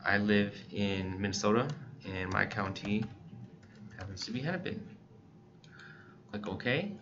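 An American man giving a casual, plain-spoken step-by-step tutorial as he presents software on screen. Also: lowish voice, unhurried, noisy background. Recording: dull lo-fi voice-over recording.

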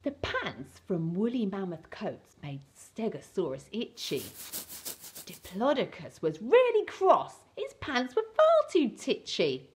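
A woman's voice: mid-range voice, wildly swooping pitch, slow, husky. A middle-aged woman reading a children's storybook as an entertainer, with a playful, exaggerated, theatrical delivery. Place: small indoor set with fabric backdrop.

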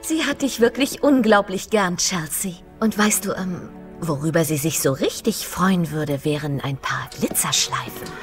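An encouraging young woman from an animated film. She is a kind, upbeat big sister with a very lively intonation, over clear music. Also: lowish voice, a little slow. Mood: gentle, coaxing.

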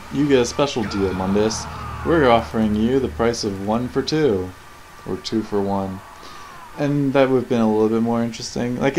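A man's voice: medium pitch, a little mellow, a little hiss or hum, poor audio. A man giving casual, rambling, critical off-the-cuff commentary on video game cutscenes. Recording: game soundtrack playing behind. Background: video game cutscene audio and music.

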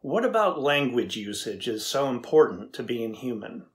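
Middle-aged engineer acted by a man, medium pitch, skeptical, matter-of-fact questioning, scripted drama. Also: big pitch swings, moderate pace. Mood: probing, skeptical. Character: curious, skeptical male tech engineer interviewing an AI. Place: indoors, plain backdrop.

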